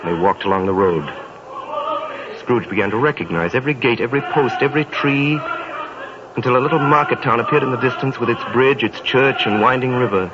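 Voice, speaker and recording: A man's voice: mid-range voice, a little fast, noisy background. A man narrating a 1930s radio drama in a dramatic storytelling way, addressing the listener directly. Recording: dull old radio recording with music.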